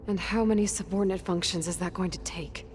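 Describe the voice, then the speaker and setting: A woman playing a determined, wary young hunter in a video game, asking earnest questions and haltingly thinking aloud. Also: fairly low pitch, darkish tone, soft background music, close to an everyday voice. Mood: questioning, focused. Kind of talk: naturalistic video game acting.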